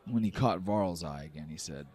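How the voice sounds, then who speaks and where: Very deep-voiced man game mastering. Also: moderate pace, a little mellow. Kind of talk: dramatic tabletop game narration.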